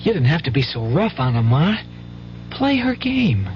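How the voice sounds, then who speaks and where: An actress playing an anxious, plain-spoken schoolboy and older brother with a rural American accent in a radio drama. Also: female voice, deep voice, sing-song, moderate pace. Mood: reproachful. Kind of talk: 1970s radio drama acting. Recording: dull old radio recording with hum.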